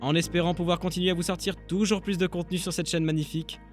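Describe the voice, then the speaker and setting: A man with a fairly high voice narrates a casual vlog. He speaks informally and pokes fun at himself, like an amateur creator addressing his followers. Faint music runs behind him. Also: level tone, quick pace. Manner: self-deprecating, informal. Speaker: amateur voice dubber and channel creator.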